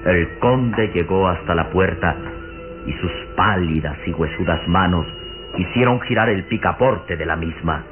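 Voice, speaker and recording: A man's voice: sits low, measured pace. A man narrating a gothic radio serial in an ornate, solemn, suspenseful vintage style. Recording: phone-like vintage radio recording, music behind.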